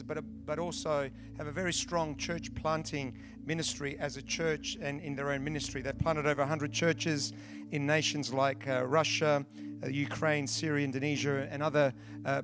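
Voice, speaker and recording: A man introducing a guest speaker at church, halting and full of fillers. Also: a little high. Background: music.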